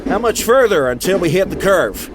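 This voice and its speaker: Expressive, curious train crewman played by a man, noisy background. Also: high voice, moderate pace. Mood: inquisitive. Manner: curious, reflective, probing. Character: thoughtful, questioning male steam train crewman. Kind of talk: amateur audio drama acting.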